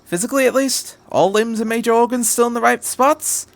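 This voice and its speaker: A man voicing a quirky, cheerful, curious time-traveling adventurer in a story reading, with a brisk, rambling delivery. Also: very high voice, creaky, no room sound, pitched above the performer's own voice. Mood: cheerful, breezy. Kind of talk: audiobook-style story reading.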